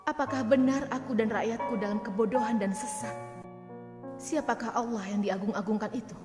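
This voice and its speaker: A woman's voice: a little high, fairly even intonation, fairly quick, over music, natural voice. A woman acting a proud, shrewd queen in a cartoon, with a regal, commanding and formal delivery. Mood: questioning.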